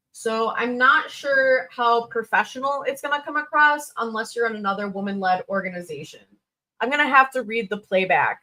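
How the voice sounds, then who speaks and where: A woman's voice: fairly high pitch, sharp tone, rough recording. A woman hosting a livestream, giving informal, blunt feedback in a chatty, unscripted way and thinking aloud as she goes. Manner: informal, blunt, thinks aloud with little sing-song filler sounds. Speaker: livestream host reviewing resumes.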